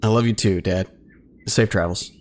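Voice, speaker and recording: An actor playing a sardonic, easily exasperated grown son in a naturalistic audio drama. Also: male voice, on the low side, fairly even intonation, moderate pace, close to an everyday voice. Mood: wry.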